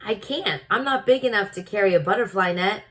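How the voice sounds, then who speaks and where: A woman's voice: mid-range voice, brisk, fairly bright tone, natural voice. A tiny storybook mouse, voiced by a woman, polite and earnest. He is a curious little fellow who asks questions and frets readily. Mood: anxious, sorry.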